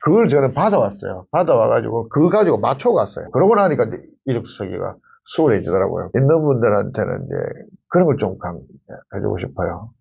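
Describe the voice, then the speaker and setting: A middle-aged man giving conversational career advice in an emphatic, instructive way, repeating key phrases, with a husky, gravelly voice. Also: lowish voice, sing-song, fast talker, a little mellow, dull audio. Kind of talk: conversational advice to camera in an interview.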